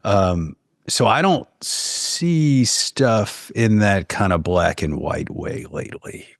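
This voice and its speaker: A man from New Orleans with a very deep-pitched voice, speaking casually as a podcast guest. He is hesitant and reflective, working out thoughts aloud. The recording is dry, free of any room sound. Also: sing-song, slow pace, no room sound. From New Orleans, United States. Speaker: podcast guest, horror fiction writer. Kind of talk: casual chat.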